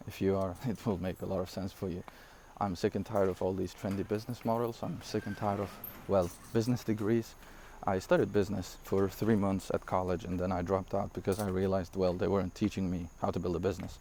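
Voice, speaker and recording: Rambling young man, low voice. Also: fairly quick, few pauses, a little hiss or hum. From Syria. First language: not English. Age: 20s. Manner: energetic, rambling, frequent laughs and fillers. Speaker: online business coach. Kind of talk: casual direct-to-camera talk.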